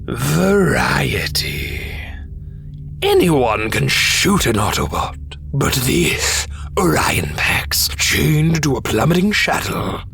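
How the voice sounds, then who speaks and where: Slow, gravelly robot villain. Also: male voice, fairly high pitch, very animated, fairly bright tone, faint music behind, exaggerated voice. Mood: gloating menace. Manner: slow, theatrical, savoring menace. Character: cruel gloating male robot warlord villain. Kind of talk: fan-dub voice acting, animated drama.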